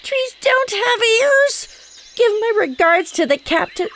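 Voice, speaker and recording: A woman's voice: extremely high pitch, very animated, slow pace, a bit bright, slight background noise, heavily acted. A woman playing a meek, dutiful junior spaceship crew member in an audio drama, hesitant and deferential. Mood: searching, dismayed. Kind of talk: scripted audio drama acting.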